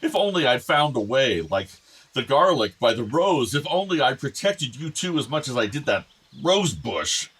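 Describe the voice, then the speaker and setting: An actor playing an ailing, tender older father in a radio play, reflective and gently earnest. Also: medium pitch, very animated, normal speed, slightly rough. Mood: anguished regret. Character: ailing, tender, wistful aging father of two grown sons.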